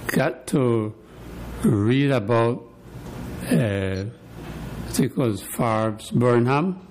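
A man interviewing a guest, asking questions in a hesitant way with pauses and fillers. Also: mid-range voice, expressive, darkish tone, a little hiss or hum. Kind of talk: casual interview.

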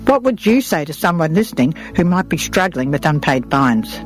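A woman's voice: low-pitched, big pitch swings, moderate pace, faint music behind. A woman with an Australian accent, presenting and asking questions in a prepared radio interview.

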